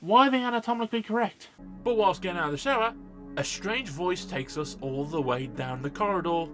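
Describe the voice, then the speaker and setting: A man's voice: high voice, brisk, a bit bright, soft background music. A man narrating a scripted comedic film review in a sarcastic, sweary manner. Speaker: film review video host.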